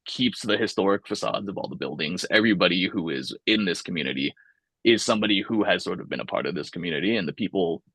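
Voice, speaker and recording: Low-voiced young man in a dry room. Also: animated, fast, creaky, bright, forceful tone, husky, no echo. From California. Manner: casual, thoughtful, many fillers and restarts. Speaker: interviewee. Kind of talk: oral history interview.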